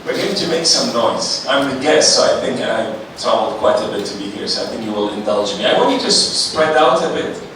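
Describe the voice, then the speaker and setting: Middle-aged man, panel speaker, lively and coaxing, heard from a distance in a big echoey hall with noise. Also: medium pitch, brisk, hardly any pauses. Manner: animated, coaxing the audience. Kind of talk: seminar talk leading an audience exercise. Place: large seminar hall.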